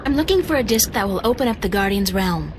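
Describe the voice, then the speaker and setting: A woman's voice: medium pitch, lively intonation, fast talker, background noise, natural voice. An actress playing a polite, curious young woman adventurer asking questions.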